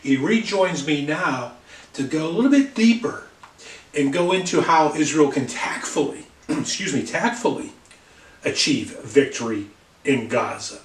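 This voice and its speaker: A man's voice: mid-range voice, reverberant, distant mic. A man hosting an interview show, talking conversationally and stumbling over words at times. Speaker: talk show host. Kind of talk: interview host.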